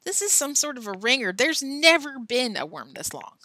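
Lady doing an excitable storybook kid, high voiced, indignant, kinda suspicious of everyone. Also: moderate pace, no echo, heavily acted. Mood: wary. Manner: indignant, shouting outbursts. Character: excitable, suspicious schoolboy accusing friends of cheating.

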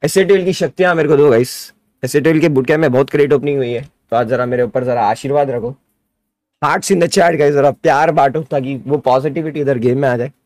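A young man streaming a game, chatty and excitable, talking to his viewers from a desk mic in his gaming room. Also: mid-range voice, fairly quick, no echo. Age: young adult. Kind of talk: excited live reaction stream.

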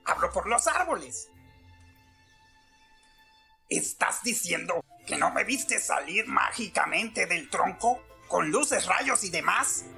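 An actor as grumpy furry forest creature, creaky, slow declamation, pompous, Latin American accent, cartoon dub, music behind. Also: medium pitch, very animated, bright, forceful tone, full character voice. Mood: pompous, stern. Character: small grumpy, pompous furry forest guardian with big moustache. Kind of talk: animated film fan dub acting.

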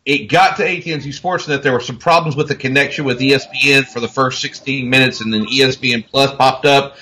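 A man's voice: mid-range voice, fairly even intonation, fast talker, pushed and bright, low-quality recording. A man in his forties chatting on a video call as a rugby pundit, emphatic and rambling with many restarts. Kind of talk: casual panel chat.

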